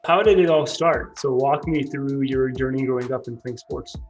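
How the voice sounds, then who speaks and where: A man's voice: mid-range voice, normal speed, dull audio, faint music behind. A man hosting a podcast interview, enthusiastic as he introduces his guest and asks questions. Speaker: podcast host.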